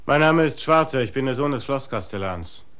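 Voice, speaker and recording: A young actor in a radio play voicing a steward's son who talks down to people in an officious, arrogant way. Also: male voice, mid-range voice, animated, brisk, a bit bright, smooth, close to an everyday voice. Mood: haughty. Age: young adult.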